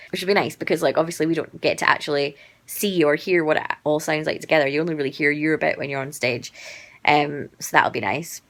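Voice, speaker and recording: A young woman vlogger chatting casually to camera with lots of fillers, her voice slightly croaky. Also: low voice, a bit bright. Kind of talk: casual chat.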